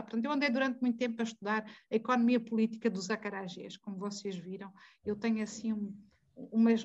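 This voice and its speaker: A woman with a Mozambican Portuguese accent talks through an academic argument and keeps stopping to correct herself. Her voice sits a bit high. The call audio is muffled and lo-fi. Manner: digressive, self-correcting, asks rhetorical questions. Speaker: guest speaker in an academic debate. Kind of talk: conversational academic talk.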